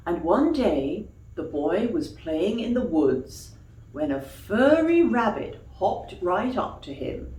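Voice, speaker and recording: Animated woman storyteller in an echoey room. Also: fairly low pitch. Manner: explains words to young listeners in asides. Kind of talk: expressive children's story reading. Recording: echoey room, distant mic.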